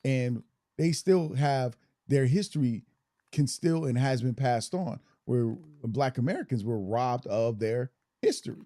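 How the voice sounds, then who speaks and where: A man's voice: medium pitch, a little slow, mellow, no echo, studio quality. A man from Germany co-hosting a podcast, speaking in a reflective, storytelling way. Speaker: podcast co-host. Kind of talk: casual discussion.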